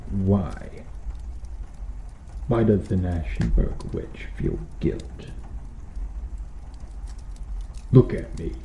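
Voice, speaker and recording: A man playing a cruel, vengeful medieval knight in an ASMR roleplay, speaking in formal archaic phrases with menacing, deliberate intensity. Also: low voice, big pitch swings, heavily acted. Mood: menacing, demanding. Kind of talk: ASMR roleplay, slow dramatic monologue. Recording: muffled, roomy sound with background noise.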